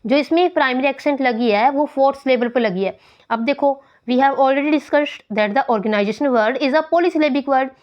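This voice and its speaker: An Indian woman teaching English grammar for exam prep. She reads English text slowly and explains it in quick Hindi, switching between the two languages. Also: high-pitched, dull audio.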